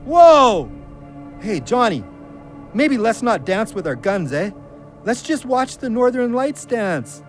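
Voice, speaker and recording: A man's voice: high voice, very animated, takes it slowly, soft background music, heavily acted. A man playing a joking, loyal hunting buddy who grows wary, in an audio drama. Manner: teasing, colloquial.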